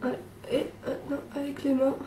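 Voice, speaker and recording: A young woman with a hoarse, cold-roughened voice, hesitantly talking through a makeup tutorial. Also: high voice, completely flat delivery, dark tone, distant mic, slight background noise. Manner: hesitant, many pauses and restarts. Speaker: beauty vlogger. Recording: echoey room, away from the mic.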